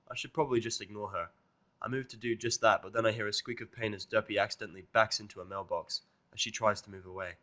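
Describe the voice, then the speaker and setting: Guy reading fanfic out loud, lowish but really sharp forceful voice, sardonic first person narration. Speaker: fan fiction reader and narrator.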